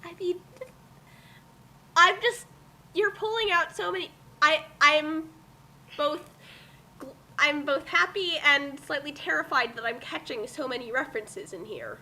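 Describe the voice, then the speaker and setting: A woman bantering casually and reacting excitably during a group reading, full of exclamations. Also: sits high, unhurried, long pauses. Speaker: commentator in a dramatic fanfic reading.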